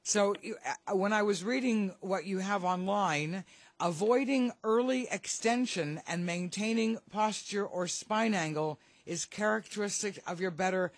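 A woman's voice: mid-range voice, measured pace, dry recording. A woman hosting a radio show in a chatty, informal conversational style while getting over a cold. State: has a lingering cold. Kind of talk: chatty sports radio interviewing.

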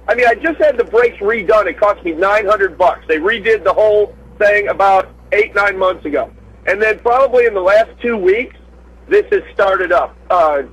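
High-voiced man phoning in. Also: slightly rough, low-quality recording. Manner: friendly, curious, talkative. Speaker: caller. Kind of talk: casual call-in question.

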